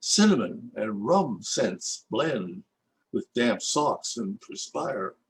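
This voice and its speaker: An older Canadian man reading his own rhyming poems aloud in a measured, lilting recitation. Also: mid-range voice, creaky, slight background noise, poor audio. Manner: lilting, expressive recitation with rhythmic rhyme. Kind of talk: slow, measured poetry reading.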